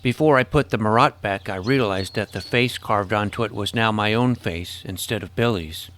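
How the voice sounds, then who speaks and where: A man's voice: lowish voice, moderate pace, fairly bright tone, slight background noise, natural voice. Earnest boy hero, played by a man. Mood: reflective. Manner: casual, boyish, hesitant phrasing. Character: earnest, awkward boy hero telling his adventure. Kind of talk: first-person scripted narration and acted dialogue.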